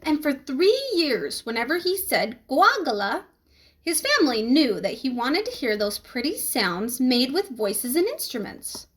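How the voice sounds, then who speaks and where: A woman reading a children's book aloud in an expressive, emphatic storytelling manner. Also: high-pitched, measured pace, hardly any pauses, husky. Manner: animated, emphatic storytelling with questions to listeners.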